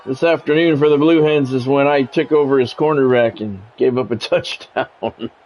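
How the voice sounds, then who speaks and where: A man's voice: medium pitch, fairly even intonation, measured pace, darkish tone. American man calling a game, dull lo-fi sound. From United States. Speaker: game streamer doing play-by-play. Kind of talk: sports play-by-play commentary mixed with casual livestream chat. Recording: dull lo-fi audio with faint noise.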